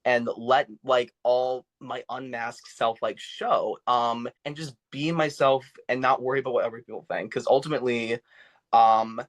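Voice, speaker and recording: A man's voice: mid-range voice, fairly even intonation. A young man, a podcast guest and actor, talking casually with many fillers and restarts. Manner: halting, full of "like" fillers and restarts. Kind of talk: casual interview talk.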